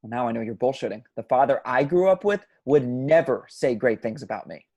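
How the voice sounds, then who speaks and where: A man's voice: mid-range voice, expressive, fairly quick, darkish tone, highs cut off. A man playing a bitter, frustrated young man in an amateur table read of a film script.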